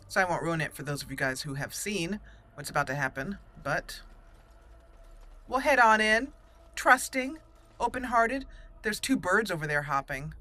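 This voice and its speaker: A woman's voice: lowish voice, lively intonation, unhurried, pauses often, sharp tone. A woman giving casual, chatty roleplay commentary on a video game, playfully thinking aloud. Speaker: gaming video host. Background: game music.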